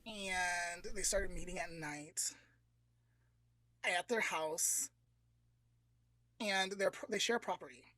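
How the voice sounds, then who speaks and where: A man's voice: high-pitched, slow pace, long pauses, pushed and bright, no echo, poor audio. A man with an American accent co-hosting a podcast, casually telling a story in a conversational, approximate way. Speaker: podcast co-host.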